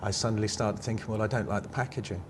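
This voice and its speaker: A man with an English accent and a fairly low voice talks as an interview guest. He rambles through personal stories, often breaking off and restarting. There is faint noise and ambience behind him. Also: steady tone, quick pace. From England. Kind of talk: conversational interview, personal anecdotes.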